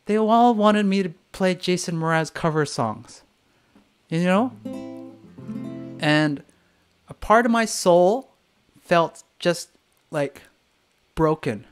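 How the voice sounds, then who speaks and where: A man's voice: high-pitched, lively intonation, takes it slowly, pauses often. Guy doing a livestream q&a, blunt and sweary, keeps mimicking people, bit of guitar faintly behind. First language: English. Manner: candid, swears freely, acts out voices of others. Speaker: singer-songwriter. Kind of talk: live stream chat.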